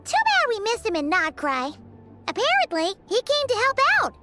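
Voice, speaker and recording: A woman's voice: extremely high pitch, huge pitch swings, normal speed, over loud music, exaggerated voice. A woman voicing a small, chirpy, talkative fairy companion with a childlike manner in a video game. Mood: earnest, speculating. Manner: refers to herself by name in third person.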